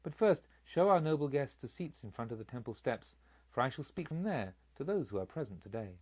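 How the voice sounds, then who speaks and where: A man voicing a serene, authoritative Buddha who teaches in solemn, deliberate storybook dialogue. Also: mid-range voice, big pitch swings, darkish tone, husky, no echo, highs cut off. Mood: calm, gracious. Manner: solemn, deliberate, rhetorical preaching.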